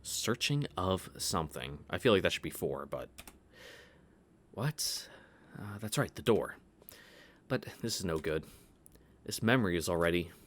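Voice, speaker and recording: Low, lively male streamer reading narration, cracks jokes, music bed. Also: measured pace, pauses often. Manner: reads narration aloud, jokes and swears. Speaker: streamer reading a visual novel. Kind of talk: casual read-through. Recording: voice over game music.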